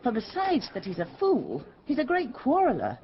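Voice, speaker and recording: Saucy chambermaid, wildly swooping pitch. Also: female voice, huge pitch swings, moderate pace, highs cut off. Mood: scornful. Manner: teasing, dry, knowing. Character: saucy, sharp-tongued, quick-witted chambermaid. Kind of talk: Shakespearean radio drama acting.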